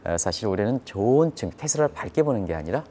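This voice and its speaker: Male economic commentator, fairly low register, explains markets to viewers with repetition and rhetorical questions. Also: sing-song, fast, dark tone, a little hiss or hum. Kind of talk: live financial news commentary.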